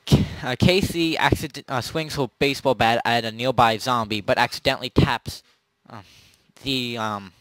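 A man running a tabletop zombie role-play as game master, narrating in a halting, improvised way. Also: medium pitch, a bit bright, no echo, poor audio. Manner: halting, pieces scenes together as he goes.

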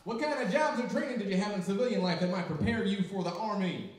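Older man as high-voiced, exasperated army sergeant. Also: fast talker, lightly acted. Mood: exasperated, sardonic. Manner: barking, sardonic. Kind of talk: live old-time radio comedy skit. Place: library room, live performance, indoors. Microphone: stand microphone, distant camera. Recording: echoey room, far mic, audience noise.

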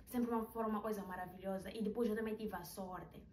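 A woman tells a personal story in a chatty, animated way, filmed in a small bedroom with the camera some distance away. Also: medium pitch, fast talker. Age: 20s. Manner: chatty, animated, with hand gestures. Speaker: vlogger. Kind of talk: casual storytelling vlog. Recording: roomy, a little distant, faint hiss.

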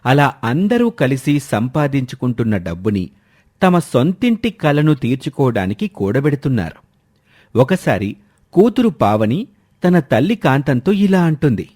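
A man's voice: medium pitch, big pitch swings, a little slow, a little mellow. A man narrating a scripted moral story as its storyteller.

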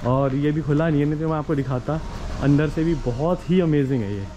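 Travel vlogger, male, 40s, a bit high-voiced, upbeat guide patter, filmed outdoors at arm's length with faint traffic behind. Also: a little fast, lo-fi sound. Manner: chatty, enthusiastic tour-guide talk mixing English words. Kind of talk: walking travel vlog. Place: outdoors on quiet old town streets. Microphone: handheld camera at arm's length. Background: faint street ambience and vehicles.

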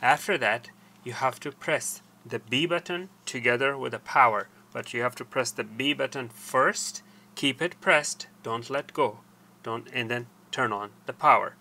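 An older man explaining a technical procedure step by step in a halting, deliberate way. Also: mid-range voice, bright, forceful tone. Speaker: tutorial presenter. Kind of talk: hands-on technical tutorial, explanatory. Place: small cluttered indoor workshop.

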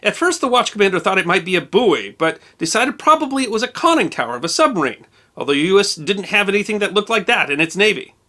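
A man narrating a scripted history documentary, measured and precise with dates and figures. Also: high-pitched, big pitch swings, sharp tone.

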